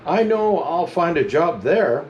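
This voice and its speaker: A man's voice: fairly high pitch, very animated, measured pace, darkish tone, muffled recording, slight background noise. A man reading a storybook aloud, voicing an eager, hopeful little boy elf in a slightly raised voice.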